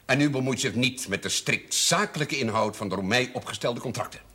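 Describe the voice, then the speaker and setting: A man's voice: mid-range voice, a little fast, bright, forceful tone, lightly acted. An older man playing a pompous, sardonic, shrewd village notary and moneylender in a television drama, speaking in formal, ironic phrases. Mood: smooth, condescending. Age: older, over 50. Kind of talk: theatrical television drama dialogue.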